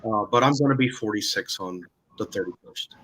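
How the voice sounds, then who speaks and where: A man in his forties co-hosts a relaxed live chat. His voice is bright and forceful, but he talks hesitantly, restarting his sentences often. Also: lowish voice, a little fast, poor audio. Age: 40s. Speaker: co-host. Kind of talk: casual live-stream chat.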